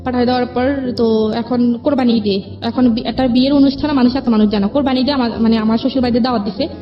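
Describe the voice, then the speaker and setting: A woman's voice: a little high, fairly even intonation, fast. A young woman tells her own life story as an interview guest, rambling, repeating herself and quoting conversations. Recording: dull lo-fi audio with music behind.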